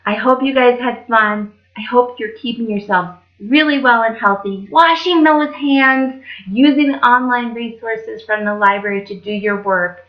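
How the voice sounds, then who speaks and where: A woman's voice: fairly high pitch, a little slow, hardly any pauses, dull audio. A woman telling stories to children as a library storyteller, in a casual style.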